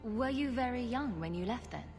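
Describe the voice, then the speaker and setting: A woman's voice: a little high, expressive, moderate pace, darkish tone, faint music behind. A woman playing a confident, practical adventurer in a video game, speaking in a wry, direct way. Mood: curious. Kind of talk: video game dialogue acting.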